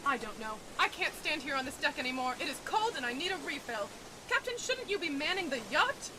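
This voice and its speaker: A woman's voice: high-pitched, very animated, fairly quick, background noise. A woman playing a haughty, theatrical rich widow who likes her drink in an amateur murder mystery audio drama. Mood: exasperated. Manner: theatrical, indignant.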